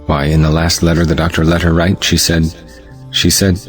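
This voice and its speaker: An earnest, proud family man acted by a man speaks with solemn devotion in a stage drama. His voice is extremely deep, with music behind it. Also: level tone, moderate pace, close to an everyday voice. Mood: proud, emotional. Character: earnest, proud, devoted husband and father of modest means. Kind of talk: stage play audiobook acting.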